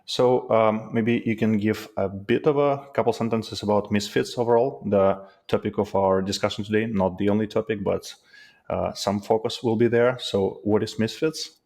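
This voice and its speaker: A man's voice: on the low side, fairly even intonation, normal speed, darkish tone. A man hosting a podcast, asking questions in a hesitant way with fillers. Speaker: podcast host. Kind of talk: interview questions.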